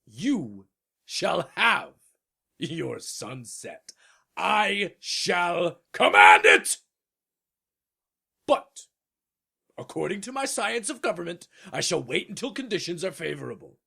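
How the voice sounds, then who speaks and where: A man playing a proud old king in a storybook dramatization, with a gravelly, raspy voice and a pompous, imperious, theatrical delivery. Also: sits high, sing-song, slow, pauses often, bright, forceful tone, exaggerated voice. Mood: grandly deliberating.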